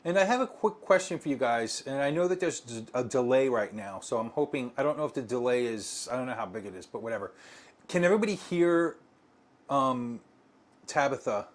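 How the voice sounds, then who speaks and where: A man's voice: mid-range voice, lively intonation, a little fast. A man co-hosting a casual live stream, talking in a rambling way with many fillers and restarts.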